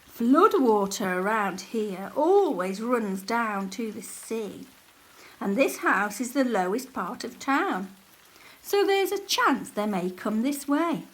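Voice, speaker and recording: A woman's voice: on the high side, animated, takes it slowly, poor audio. Woman reading as a practical old storybook grandfather. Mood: calm, alert.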